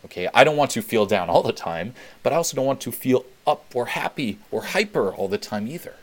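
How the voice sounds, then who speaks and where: A man's voice: medium pitch, lively intonation, fairly quick. A young man from Switzerland hosting a webinar Q&A, talking candidly and swearing freely as he repeats points for emphasis. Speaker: webinar host. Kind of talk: casual Q&A talk.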